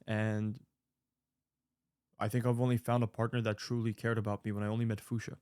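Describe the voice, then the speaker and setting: A man's voice: on the low side, level tone, a little fast, a little mellow, clear, resonant tone, no room sound. Earnest rambling young man, dry sound. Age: 20s. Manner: earnest, rambling with fillers and restarts. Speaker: online creator answering viewer mail. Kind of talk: casual advice monologue.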